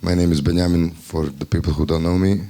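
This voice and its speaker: A man from Bosnia speaks up in a group discussion, his voice low and mellow. He has a Bosnian accent and a wry, ironic way of talking, and now and then he stops to look for the right word. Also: fairly even intonation, fast. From Bosnia and Herzegovina. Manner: wry, ironic, searches for words. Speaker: festival workshop participant. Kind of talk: spontaneous discussion remarks.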